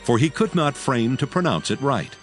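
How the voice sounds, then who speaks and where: A man narrating scripture in archaic English in a solemn, measured manner. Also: medium pitch, sing-song, music in the background.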